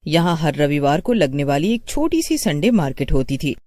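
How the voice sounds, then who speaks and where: A woman narrates a scripted horror story. Her voice is soft-edged and mellow, with lively rises and falls. The recording is dry and close. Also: deep voice, moderate pace, dry recording.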